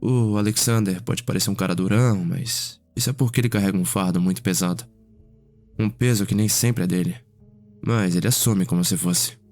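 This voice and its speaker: Low male voice, reflective vampire noble, ASMR roleplay, unhurried storytelling, pauses, background music. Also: darkish tone. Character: Cedric, curious, reflective male vampire noble, younger brother.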